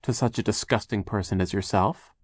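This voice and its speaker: A man's voice: lowish voice, fairly quick, no room sound, close to an everyday voice. A man reads as a defiant young girl in a storybook. The delivery is curt and indignant, insisting on each point, with a very dry, close sound.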